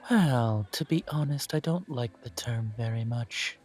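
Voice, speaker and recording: A man voicing a courteous elven coffee shop owner who speaks in a polite, formal, gently self-deprecating way, with soft music playing behind him. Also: medium pitch, measured pace, lightly acted. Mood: gracious. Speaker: shop owner interviewing a job applicant. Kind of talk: scripted one-sided roleplay audio.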